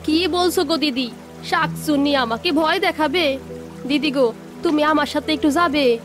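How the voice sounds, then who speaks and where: A woman's voice: high-pitched, normal speed, music in the background, close to an everyday voice. A woman voicing an overworked village housewife and mother in a cartoon, scolding and complaining. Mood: worried.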